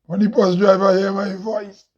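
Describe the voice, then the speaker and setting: A man from Grenada with a Grenadian accent and a loud, heavy voice, hosting an impassioned monologue full of rhetorical questions. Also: high voice, little pitch movement, normal speed. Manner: preachy, rhetorical questions, laughs often. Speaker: host. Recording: dull lo-fi recording.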